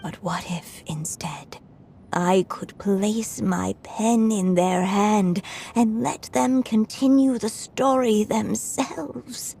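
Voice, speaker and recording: An actress voicing Anya, a frail, aging writer in a game cutscene, speaking softly and slowly with a breathy edge. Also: female voice, medium pitch, slight background noise. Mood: wistful. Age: older. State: weak, coughing. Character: old woman writer Anya, frail, wistful, resolved. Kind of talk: story cutscene voice acting. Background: soft music.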